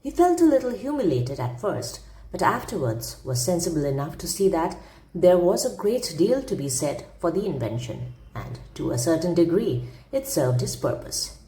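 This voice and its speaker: A woman's voice: fairly low pitch, very animated, moderate pace, warm tone, reverberant, low-quality recording. A woman narrating a classic humorous story aloud as a storyteller, with theatrical, emphatic phrasing.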